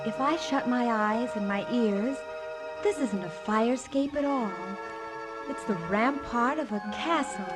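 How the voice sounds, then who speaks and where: An actress plays a dreamy young working-class woman in a 1950s radio musical. Her voice is high and she speaks slowly. Loud music sounds in the old broadcast. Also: close to an everyday voice. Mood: wistful. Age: young adult.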